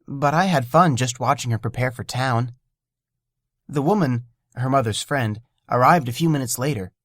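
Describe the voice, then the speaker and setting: A man narrating a scripted story in a gentle, wondering way. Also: medium pitch, moderate pace, dry recording, clean studio sound.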